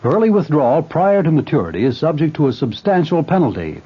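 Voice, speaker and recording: A man reading a radio commercial in a brisk, persuasive way, on a dull old radio recording. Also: a little high, big pitch swings, husky. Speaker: ad announcer.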